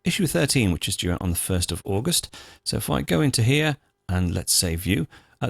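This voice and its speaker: A man hosting a software tutorial, talking through each step in an informal, unscripted, explanatory way. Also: lowish voice, gravelly, dry recording, clean studio sound. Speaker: host of a software tutorial show. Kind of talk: screencast tutorial, unscripted walkthrough.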